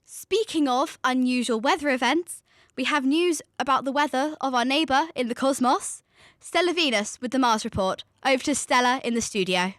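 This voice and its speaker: Young woman acting as high voiced radio weather girl, composed n formal, dry studio sound. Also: a bit bright, no room sound. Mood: measured. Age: young adult. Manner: measured, formal presenting. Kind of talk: scripted news-radio drama.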